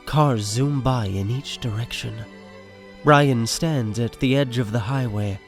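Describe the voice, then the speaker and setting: Creaky-voiced man narrating over music. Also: mid-range voice, takes it slowly. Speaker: narrator of an audio drama. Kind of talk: scripted narration, reading stage directions.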